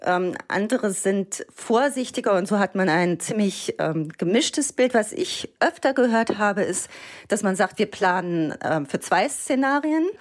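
Female journalist, explanatory, pauses with fillers, interview answers. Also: medium pitch, animated. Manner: explanatory, uses hesitation fillers. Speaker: education journalist.

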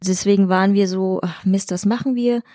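A woman's voice: medium pitch, fairly even intonation, brisk, mellow, dry recording, studio quality. A young woman, an actress and kung fu trainer, talking frankly and pragmatically as a podcast guest. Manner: frank, pragmatic, laughs often. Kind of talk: candid podcast conversation.

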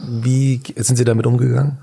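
A man's voice: mid-range voice, flat delivery, brisk, vocal fry, dark tone, breathy edge. A man co-hosting a podcast, asking questions with frequent stammering restarts. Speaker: podcast co-host. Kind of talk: casual interview chat.

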